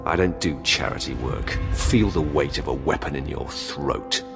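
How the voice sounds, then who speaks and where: An actor playing a cold, commanding young dark-side acolyte in a video game, clipped and dryly threatening. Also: male voice, extremely low pitch, moderate pace, vocal fry, over music. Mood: coldly ruthless. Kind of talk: video game character acting.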